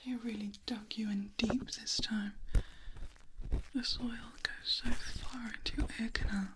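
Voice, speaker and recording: A woman's voice: on the high side, fairly even intonation, a little slow, background noise, natural voice. Whispering, protective vampire played by a woman. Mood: quietly concerned. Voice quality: whispery, breathy. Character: ancient female vampire, tender, protective, distrustful of humans. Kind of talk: soft-spoken ASMR roleplay.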